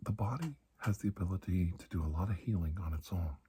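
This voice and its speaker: Guy doing a calm doctor for asmr, low soft husky voice, slow and soothing, slips into whispers. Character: calm, reassuring male doctor treating alien skin disease. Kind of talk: soft-spoken ASMR roleplay.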